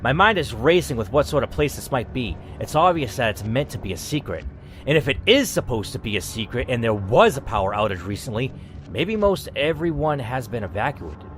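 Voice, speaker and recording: A man hosting a dramatic story reading, who reacts out loud and swears as he weighs each choice. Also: mid-range voice, very animated, brisk, a little hiss or hum. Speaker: host reading an interactive story.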